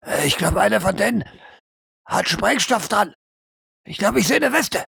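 A man puts on an anxious young-sounding spotter for a roleplay audio drama. The voice is pushed high and stays dry, hesitant and unsure as he reports what he sees. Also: animated, moderate pace, gravelly, pushed and bright, husky, dry recording, exaggerated voice. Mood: nervous. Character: nervous, uncertain male military spotter.

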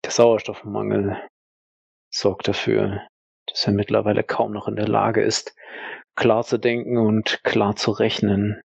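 A man narrating an audio role-play in the third person, halting, deliberate and sombre. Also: fairly low pitch, monotone, gravelly, breathy edge, dry recording, muffled recording.

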